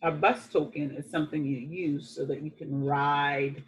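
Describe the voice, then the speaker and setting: A woman reading a children's picture book aloud like a teacher, with expressive, emphatic phrasing and deliberate dramatic pauses. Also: low voice, low-quality recording.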